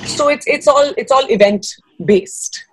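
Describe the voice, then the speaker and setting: A woman's voice: high-pitched, unhurried, breathy edge, no room sound, low-quality recording. A woman in her thirties from Dubai, giving conversational interview answers on a video call from a room at home. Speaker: guest, fashion designer.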